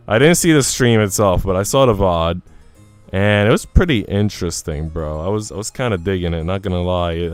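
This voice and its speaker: A man's voice: on the low side, smooth, no echo. A man live streaming, switching between casual slangy chat and reading visual novel narration aloud. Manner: slangy, informal.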